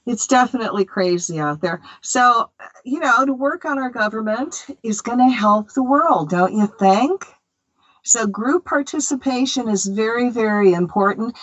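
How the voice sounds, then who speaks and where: A woman's voice: fairly high pitch, animated, measured pace, pauses often, fairly bright tone, lo-fi sound. An American woman hosting a spiritual radio show, talking in a chatty, opinionated way with many fillers. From United States. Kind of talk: casual spiritual radio talk.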